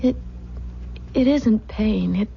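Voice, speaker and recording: An actress playing a young pregnant wife in a 1970s radio drama. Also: female voice, mid-range voice, slow, gravelly. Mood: evasive, weary. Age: young adult. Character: cheerful, anxious young pregnant wife in Scotland. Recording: muffled, noisy old radio recording.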